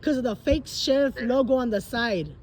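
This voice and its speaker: A woman's voice: fairly high pitch, moderate pace, a little mellow. A woman in a heated phone conversation, insistent and emphatic, often repeating herself for weight. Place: at a public library. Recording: faint background noise.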